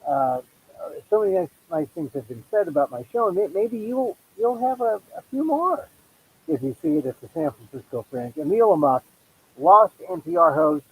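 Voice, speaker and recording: Chatty husky middle-aged man, lively pitch. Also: rough recording. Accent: Filipino American. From United States. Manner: chatty, self-deprecating, quick asides and restarts. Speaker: podcast host. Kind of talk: casual solo monologue promoting his show.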